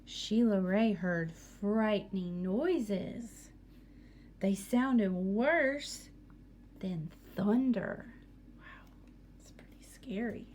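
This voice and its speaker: A woman's voice: medium pitch, very slow, a little mellow, slight background noise. A woman teacher reading a picture book aloud to students, expressively, with asides and questions for young listeners.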